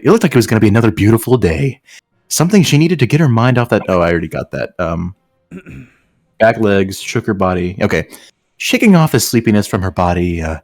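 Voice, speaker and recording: Guy with a low voice reading a story out loud, really animated, jokey sarcastic asides. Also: no room sound, clean studio sound. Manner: joking, breaks off into sarcastic asides. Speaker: host reading fan fiction aloud with friends. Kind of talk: casual mocking read-aloud with asides.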